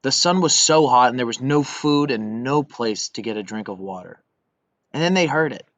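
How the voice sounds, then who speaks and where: Young male storybook reader, middle-pitched and expressive, on a dry, dull recording. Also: normal speed, no echo. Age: young adult. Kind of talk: scripted children's story reading.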